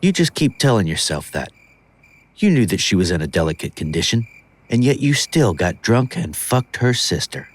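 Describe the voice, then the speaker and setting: An actor playing a creepy, accusing street beggar in an audio drama, taunting and insinuating. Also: male voice, fairly low pitch, big pitch swings, normal speed.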